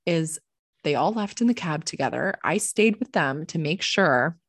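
A young woman with a Canadian accent, telling a story casually in a blunt, sweary, animated way and acting out the dialogue. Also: sits low, moderate pace, no room sound, clean studio sound.

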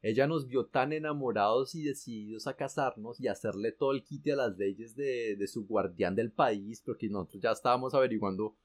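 A man with a Colombian accent recounts his story in a casual, animated way. He drops slang and mild swears freely. His pitch sits in the middle range for a man. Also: brisk, few pauses. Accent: Colombian Spanish. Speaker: guest telling his story.

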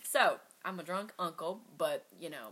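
A woman's voice: fairly low pitch, animated, moderate pace, heavy background noise. A young woman joking through an improv character game with a friend, often laughing. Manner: giggly, joking, easily amused.

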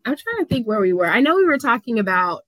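A woman's voice: on the high side, big pitch swings, fast, a bit bright, no echo. A woman co-hosting a casual podcast, talking thoughtfully and hesitantly as she searches for words. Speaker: podcast co-host.

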